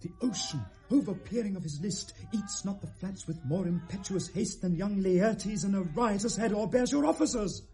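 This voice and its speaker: An alarmed messenger to a king in classic radio Shakespeare. He cries out urgently in a very high, soft-edged tone, declaiming the verse over a musical bed. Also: moderate pace, few pauses, faint music behind. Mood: panicked urgency. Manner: urgent, crying out. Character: alarmed royal messenger crying out urgent warning.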